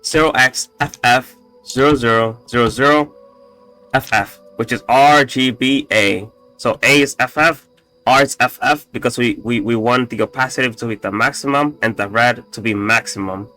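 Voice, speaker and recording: A man casually walking through his code, halting often with fillers and restarts, with a slightly raspy voice and the odd swear. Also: mid-range voice, bright, forceful tone, faint music behind. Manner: halting, full of fillers and restarts, profane asides. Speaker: coding tutorial host. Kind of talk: casual screen-recorded coding walkthrough.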